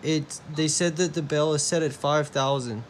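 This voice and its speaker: A man's voice: a little high, moderate pace. A man in his twenties with an American accent talking on a phone call in a bedroom, recorded on a phone. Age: 20s. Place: bedroom at home. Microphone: phone at arm's length. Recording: noisy phone video.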